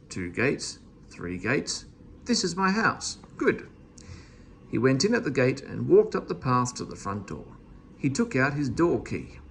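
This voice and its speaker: A man's voice: medium pitch, a bit bright, a little hiss or hum. A man reading a children's storybook aloud as a narrator. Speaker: storybook reader.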